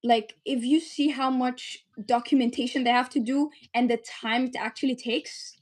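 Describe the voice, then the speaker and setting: A fairly high-voiced woman in her twenties, from the UAE, speaks as a podcast guest. She tells her story earnestly and chattily, using lots of fillers along the way. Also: steady tone, moderate pace. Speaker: guest, medical student and startup founder. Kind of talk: interview, telling her story.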